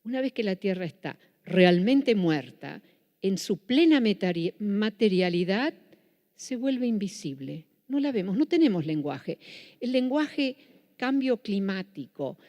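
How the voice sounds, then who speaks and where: Lecturer: woman, Buenos Aires accent, warm low tone, long hall reverb. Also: very animated, a little slow. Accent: Buenos Aires Spanish. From Netherlands. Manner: self-correcting, with frequent restarts. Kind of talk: public lecture.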